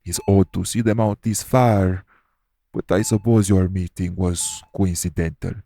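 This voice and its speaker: A low, gravelly male official of a secret organization, played by a male voice actor, addresses the listener in an audio roleplay. His tone is dark and mellow, and his delivery is formal, measured and calm. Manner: measured, formal, matter-of-fact.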